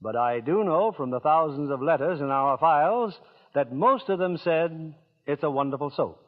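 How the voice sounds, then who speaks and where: A man's voice: fairly high pitch, animated, moderate pace. A man hosting a 1940s radio drama, reading scripted narration in a polished, dramatic manner. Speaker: radio host and announcer. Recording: dull old radio recording, loud music.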